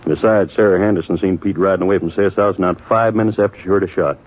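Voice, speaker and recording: Deep voiced american western lawman, folksy n firm, tinny old radio drama. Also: fairly even intonation, fast talker. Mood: insistent. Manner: plain, folksy, clipped frontier speech. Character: quiet, capable small-town frontier marshal, plain-spoken and firm. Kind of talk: 1950s radio western acting. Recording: tinny, narrow-band old radio recording.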